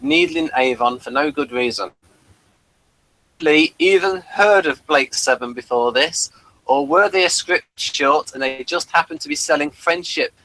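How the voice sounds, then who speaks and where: A man's voice: fairly high pitch, pushed and bright, rough recording. A man co-hosting a casual review chat. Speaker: podcast co-host reviewing TV episodes.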